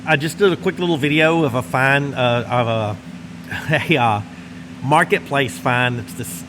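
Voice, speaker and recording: A middle-aged man with a Northwest Louisiana Southern drawl, the channel host, chatting casually and meandering to the camera while he smokes in his garage workshop. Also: mid-range voice. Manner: easygoing, meandering, folksy drawl, pauses while smoking a cigar. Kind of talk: casual rambling chat to camera. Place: large insulated home garage workshop, indoors. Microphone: camera close in front, seated. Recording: steady heater hum behind voice. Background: heater running.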